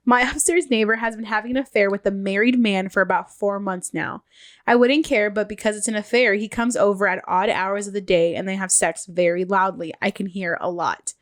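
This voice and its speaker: Nasal woman reading stories aloud. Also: medium pitch. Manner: laughs often, reads listener stories. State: nasal, getting sick. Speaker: podcast co-host.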